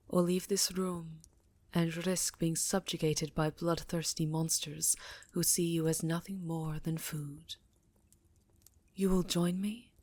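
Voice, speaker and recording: A woman performs an imperious vampire countess in an ASMR roleplay. Her voice is fairly low and very dry, with no room around it. She speaks deliberately in a breathy half-whisper, possessive, seductive and faintly menacing. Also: a little mellow, no room sound. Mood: seductive, commanding.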